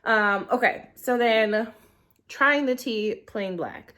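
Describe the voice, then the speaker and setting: A woman's voice: fairly high pitch, slow, sharp tone. A young woman with a blocked-up, slightly nasal voice, chatting to her camera at home. She rambles loosely, hedging with ums and likes as she talks through a tea review. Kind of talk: casual review vlog. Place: living room at home. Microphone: camera in portrait mode.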